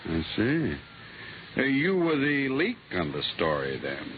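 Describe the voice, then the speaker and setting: A man's voice: mid-range voice, huge pitch swings, unhurried. An actor playing a curt, skeptical male superior official in 1950s radio drama style. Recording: tinny, noisy old radio recording.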